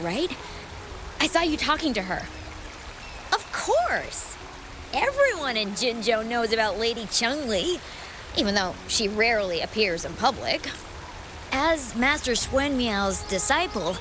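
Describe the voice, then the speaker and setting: An eager, high-voiced townswoman. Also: noisy background. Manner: eager, admiring, helpful. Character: eager, helpful young townswoman. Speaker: travel guide writer townsperson. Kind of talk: game voice acting.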